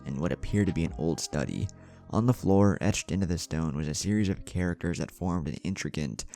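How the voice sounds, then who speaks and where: Male storyteller, low mellow voice, lively intonation, dramatic scripted narration, music underneath. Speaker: fan fiction narrator.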